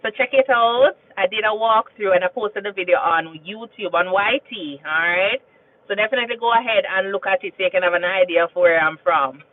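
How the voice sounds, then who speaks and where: A woman's voice: medium pitch, fairly quick. A woman from Jamaica with a Jamaican accent, chatting casually on a livestream, telling stories and often repeating herself. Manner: chatty and storytelling, repeats phrases and asks "you understand?". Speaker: nurse and livestream host. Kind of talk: casual livestream chat reading viewer comments. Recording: tinny phone-like sound.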